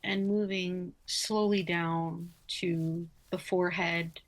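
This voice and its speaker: Slow, even-toned woman guiding meditation. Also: fairly low pitch, rough recording. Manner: soothing, unhurried, with long pauses between short phrases. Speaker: yoga nidra guide. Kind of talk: guided relaxation meditation, slow spoken instructions.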